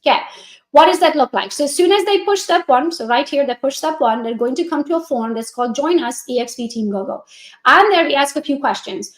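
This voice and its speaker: Hungarian-accented woman coach, high voice, energetic and chatty, echoey room. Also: fairly quick. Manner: energetic, chatty, addresses viewers directly. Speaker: real estate coach. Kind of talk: coaching walkthrough on a live stream.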